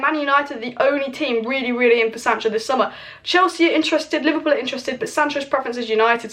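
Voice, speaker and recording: Fast-talking young woman with a hoarse voice. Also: high-pitched, fairly bright tone. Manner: chatty, opinionated, speaks straight to viewers. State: losing her voice, hoarse. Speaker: football channel host. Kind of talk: casual transfer news update. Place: home with kitchen clatter nearby. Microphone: camera mic.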